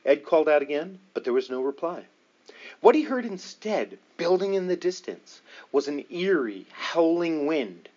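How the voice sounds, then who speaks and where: A man's voice: a little high, very animated, moderate pace, a little mellow, dull audio. A man reading a paranormal book aloud as scripted narration, with a dramatic storytelling delivery. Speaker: audiobook reader.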